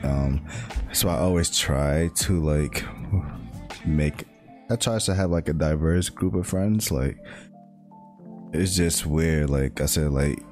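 Very deep-voiced, slow reflective young man. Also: a little mellow. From United States. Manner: halting, many "like" fillers, reflective. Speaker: speaker sharing personal reflections. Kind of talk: casual monologue. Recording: guitar music playing underneath.

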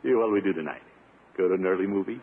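An actor playing a pompous, flustered middle-aged bachelor in a 1950s radio comedy, hemming and blustering. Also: male voice, low-pitched, racing, slightly rough. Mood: sheepish, relieved. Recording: muffled, noisy vintage radio broadcast.

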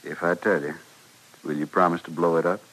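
Low, husky dying frontier storekeeper. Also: male voice, steady tone, fairly bright tone, poor audio. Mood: weak, confessing. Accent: American, western. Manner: halting, plain, resigned. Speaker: radio actor. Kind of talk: 1950s radio western acting. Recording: vintage radio recording.

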